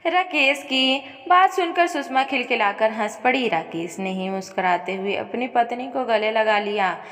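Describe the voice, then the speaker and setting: A woman's voice: on the high side, normal speed, fairly bright tone. A woman narrating a dramatic scripted story in a melodramatic manner, with long reverb on her voice. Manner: melodramatic, reads speaker names before dialogue.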